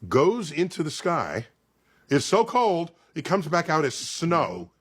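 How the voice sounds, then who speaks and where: Animated male science explainer, husky voice. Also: on the high side. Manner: emphatic, theatrical storytelling with dramatic pauses and check-in questions.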